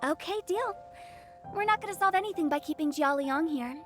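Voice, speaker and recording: A woman's voice: very high-pitched, animated, fairly quick. A woman playing a sly, playful teenage funeral director in a video game, with theatrical, probing delivery. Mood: sly agreement. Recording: music behind the voice.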